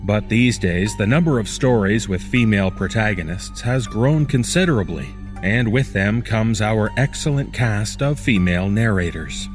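A man hosting a horror podcast, reading a scripted introduction in a measured, deliberate way. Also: fairly low pitch, expressive, soft background music. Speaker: podcast host.

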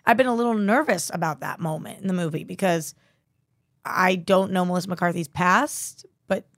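A young adult woman co-hosting a casual entertainment chat show, excited and sarcastic, who swears freely. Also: on the low side, moderate pace, dry recording, clean studio sound. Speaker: co-host.

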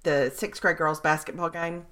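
A woman in her forties co-hosting a podcast, telling stories in an animated, laughing way. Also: low-pitched, normal speed. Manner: animated storyteller, laughs often. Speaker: podcast co-host. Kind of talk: casual chat.

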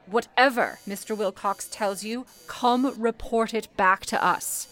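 A woman's voice: medium pitch, fairly bright tone, natural voice. A woman playing a composed, motherly switchboard supervisor, formal and authoritative, in an old-time radio play. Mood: brisk, commanding. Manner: formal, measured, authoritative, coaxing.

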